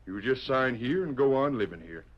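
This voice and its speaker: Gruff, folksy old-West banker acted by a man. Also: medium pitch, animated, normal speed, lightly acted. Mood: earnest. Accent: American. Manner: folksy, ungrammatical drawl. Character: gruff, remorseful small-town Western banker, a changed man. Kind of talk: old-time radio western acting. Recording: dull, noisy vintage radio recording.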